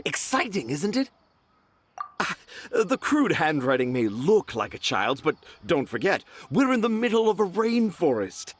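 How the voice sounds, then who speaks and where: A man's voice: fairly high pitch, very animated, a little slow, a little hiss or hum. A man acting a boastful, theatrical storyteller in a video game, with a pompous, grandiose showman's delivery. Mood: pompous, assured.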